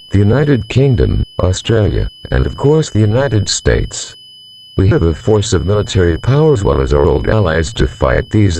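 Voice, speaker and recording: A man performs a solemn presidential representative in a doomsday broadcast. The voice is very low and creaky, with formal phrasing that swings noticeably in pitch. A faint hum sits behind the announcement. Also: darkish tone, heavily acted. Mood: grave. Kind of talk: scripted emergency alert announcement. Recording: humming, faintly noisy audio.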